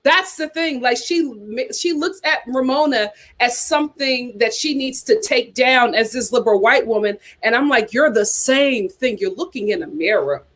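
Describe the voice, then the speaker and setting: Sassy, animated woman chatting. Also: fairly high pitch, moderate pace, few pauses. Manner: animated, sassy commentary reading live chat; uses casual slang like "child" and "y'all". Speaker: host. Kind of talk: casual chat.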